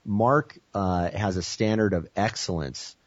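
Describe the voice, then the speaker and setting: Deep, husky American guest on a call; eager sales-pitch delivery, dry muffled line. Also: male voice, a little slow, dry recording. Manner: enthusiastic pitchy speaker, frequent you-knows. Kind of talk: teleconference interview.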